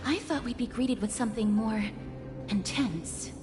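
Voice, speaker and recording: A woman playing a gentle, solemn young maiden in a fantasy video game, speaking in a measured, polite, earnest way. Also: on the high side, natural voice. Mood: earnest, calm. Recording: game music underneath.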